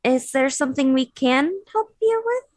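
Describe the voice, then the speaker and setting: A woman speaks casually as a tabletop game player. Her voice is high and lively in pitch, with a dry, close sound. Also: moderate pace, no room sound. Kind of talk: casual in-character game chat.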